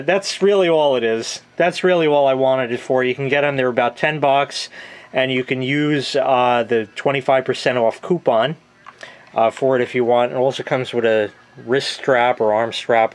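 A middle-aged man with an American accent talks through a product in an offhand, plain-spoken way. His voice sits at an average pitch. He is recorded on a handheld camera in a room at home, in the casual style of a hobby reviewer. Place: small room at home, laundry area. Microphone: handheld camera mic, close behind the camera.

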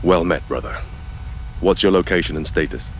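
An actor playing a stern warrior-monk squad sergeant in an audio drama, speaking in a formal, measured, commanding manner. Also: male voice, sits low, slight background noise, lightly acted. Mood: composed, resolute.

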